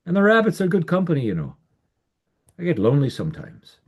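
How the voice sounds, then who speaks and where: A man puts on a gentle old peddler who coaxes a poor boy into taking a gift. His voice rises high and lilting, husky at the edges, and swings lively up and down. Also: fast talker, heavily acted. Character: kindly old male peddler, gentle and generous.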